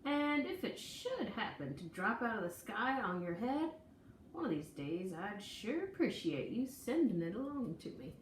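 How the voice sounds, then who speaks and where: A woman reading a children's picture book aloud in an expressive, folksy, dramatic storytelling manner. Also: medium pitch, moderate pace. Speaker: storybook reader. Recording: echoey room, distant mic, faint noise.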